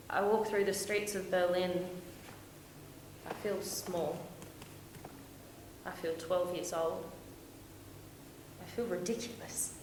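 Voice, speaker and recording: A woman performing a stage monologue as a young, romantic, homesick backpacker, confiding and expressive with dramatic pauses. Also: lowish voice, lightly acted. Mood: hurt, deflated. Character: young Australian woman backpacker, dreamy, lovestruck, heartbroken, homesick. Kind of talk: theatrical stage monologue, storytelling to an audience. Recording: echoey room with faint noise.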